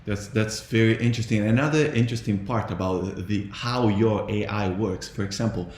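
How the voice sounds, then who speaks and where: A man's voice: fairly low pitch, moderate pace, darkish tone. A man with an Italian accent hosting a casual interview, hesitant and full of fillers and restarts. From Italy. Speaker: podcast host. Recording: echoey room with faint noise.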